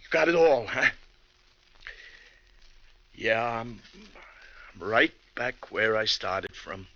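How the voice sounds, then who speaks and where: An actor playing a deranged, desperate killer posing as a bus driver, menacing and overwrought in 1940s American radio drama style. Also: male voice, mid-range voice, bright, forceful tone. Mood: broken, bitter. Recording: dull old radio recording.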